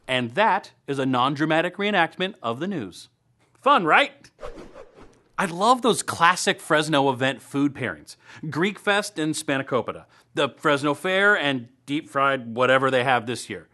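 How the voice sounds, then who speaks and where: A man's voice: on the high side, lively intonation, measured pace, pushed and bright. A man hosting a local web series, reading upbeat scripted news and event segments in a playful, tongue-in-cheek way.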